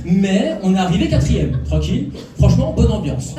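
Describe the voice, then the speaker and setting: A man's voice: high voice, sing-song, moderate pace, music in the background. A man doing a stand-up routine on a club stage, teasing the crowd and working the audience. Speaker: stand-up comedian. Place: comedy club stage before a live audience. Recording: echoey room, from far off.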